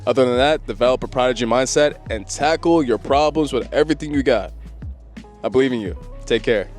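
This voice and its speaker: A young man talking casually to camera with self-improvement advice, a bit congested from a cold, relaxed and conversational, restarting phrases now and then. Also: mid-range voice, a little fast, faint music behind.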